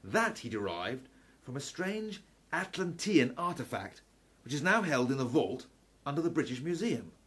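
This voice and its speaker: Man acting a wry, lecturing steampunk professor, lively pitch. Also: normal speed, husky. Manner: lecturing, articulate, dramatic. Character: learned, wry male Victorian professor. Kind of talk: steampunk radio play acting.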